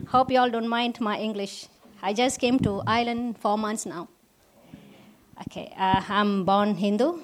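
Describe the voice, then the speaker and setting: A woman from Malaysia, giving a personal testimony at a church service in halting English with restarts. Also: mid-range voice, steady tone, a little hiss or hum, rough recording. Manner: halting, with restarts and simple non-native grammar.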